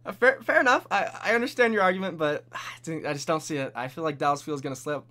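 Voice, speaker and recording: A young man hosting a casual esports prediction chat, animated and full of bold, hype-driven takes. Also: a little high, quick pace. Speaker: host.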